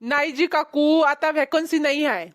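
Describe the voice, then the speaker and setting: A woman's voice: sits high, moderate pace, natural voice. A woman voicing a boastful, haggling young male street peddler in a cartoon comedy, with a Vidarbha Marathi accent. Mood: indignant, boastful. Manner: cocky, bargaining.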